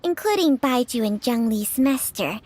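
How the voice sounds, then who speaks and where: A woman's voice: a little high, lively intonation, moderate pace, darkish tone. A woman voicing a wise old female snake spirit in a video game, speaking in a wry, knowing way. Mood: somber.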